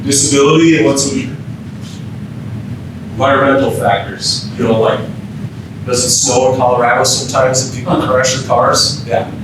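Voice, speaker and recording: A man's voice: steady tone, moderate pace, pauses often. An American man giving an informal training lecture as a keynote speaker, often quizzing his audience with questions. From Minnesota, United States. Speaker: emergency physician, keynote speaker. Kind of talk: interactive training lecture to firefighters. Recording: very echoey room, distant mic, rumbling noise.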